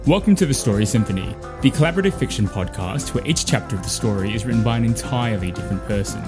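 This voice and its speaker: Lively male podcast host over music. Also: mid-range voice, fast talker. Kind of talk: scripted podcast introduction. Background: guitar music.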